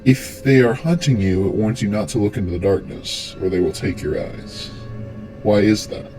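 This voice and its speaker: A man's voice: lowish voice, normal speed, dark tone. A man giving scripted commentary as the theatrical host and storyteller of a horror explainer. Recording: music under the voice. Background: music.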